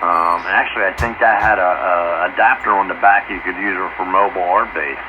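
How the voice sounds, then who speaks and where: Guy on a really staticky radio, kinda low voice, casual chat, says things twice. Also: steady tone, brisk. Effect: two-way radio transmission. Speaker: amateur radio operator. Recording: very noisy, muffled two-way radio.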